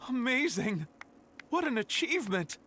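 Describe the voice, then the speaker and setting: A woman playing an excitable young female video game character, cheering exuberantly. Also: a little high, normal speed, slight background noise. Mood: thrilled. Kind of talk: scripted video game dialogue.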